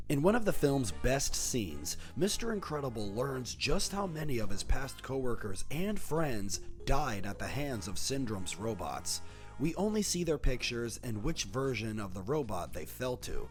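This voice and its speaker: A man narrating a scripted video essay as a horror commentator, in a playful, wry, conversational way. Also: mid-range voice.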